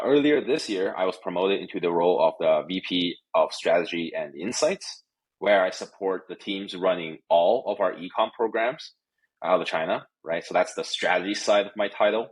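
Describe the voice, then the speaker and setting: A man's voice: low voice, slightly rough, rough recording. A man from Canada, probably in his thirties, speaking casually as an interview guest with frequent fillers and restarts. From Canada (Calgary). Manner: hesitant, many fillers and restarts. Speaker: guest, company executive. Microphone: remote recording.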